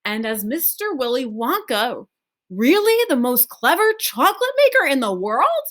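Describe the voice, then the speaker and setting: A curious little grandson in a children's storybook, put on by a woman. He is polite and earnest, full of questions. The voice sits higher and moves about with lively pitch. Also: normal speed, full character voice. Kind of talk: acted children's storybook reading.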